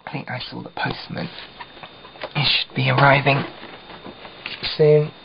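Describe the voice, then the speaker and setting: A young British man with a croaky voice, chatting casually to camera at home, rambling and often dropping into a whisper. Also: mid-range voice, level tone, unhurried. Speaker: vlogger. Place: at home by a window. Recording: dull lo-fi home video with faint hiss.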